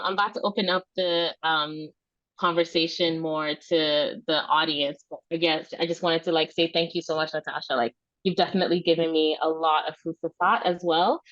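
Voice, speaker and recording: A woman's voice: fairly low pitch, fairly bright tone, no echo. A woman moderating a webinar casually and effusively, with an informal way of talking. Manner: informal, effusive, frequent "like" and "you know". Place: online webinar. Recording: dry, dull webinar audio.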